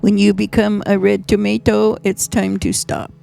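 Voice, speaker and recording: A woman's voice: medium pitch, completely flat delivery, moderate pace, creaky, dark tone, faint music behind. A woman in her eighties chatting casually with her son, answering with dry, teasing replies. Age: 80s. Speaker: mother in conversation. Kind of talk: casual chat.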